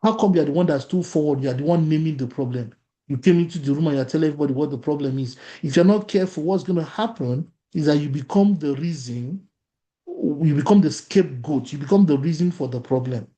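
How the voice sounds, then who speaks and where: A man's voice: a little high, fast talker, mellow. A man with a Nigerian accent, a native Yoruba speaker, leading an online workshop and often asking the audience questions. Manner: repeats phrases, asks the audience questions. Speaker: workshop facilitator. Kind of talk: interactive online workshop talk.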